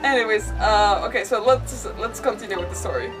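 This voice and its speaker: A woman streaming a game and chatting casually, rambling and halting as she repeats her words. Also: medium pitch. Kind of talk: casual chat, reacting to a game. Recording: distant mic, game music behind. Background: game music.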